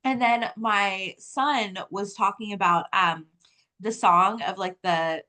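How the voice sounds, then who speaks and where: A woman in her thirties talks as a guest author in a relaxed interview. Her speech is conversational and animated, peppered with "like" as she explains things, at a middle pitch. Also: slow. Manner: animated storytelling, heavy use of "like". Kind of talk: casual interview chat.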